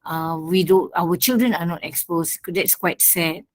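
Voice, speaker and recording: Halting woman in her 60s. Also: fairly low pitch. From Singapore. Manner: halting, with many fillers. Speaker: student. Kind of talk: speaking up in class.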